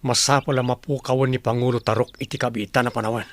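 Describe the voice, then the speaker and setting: A man's voice: mid-range voice, steady tone, quick pace, natural voice. A man playing a resolute, vengeful tribesman in an Ilocano radio drama, with birdsong behind him. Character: resolute tribesman vowing to kill a chief. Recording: faint noise with chirping birds behind.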